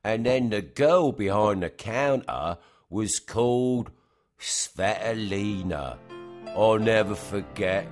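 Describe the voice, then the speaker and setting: Man playing slow-talking lovestruck lad, music behind. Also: fairly low pitch. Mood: dreamily smitten. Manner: rambling, dreamy, laddish. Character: dopey, girl-crazy male British secret agent, easily smitten. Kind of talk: comic radio play, colloquial monologue.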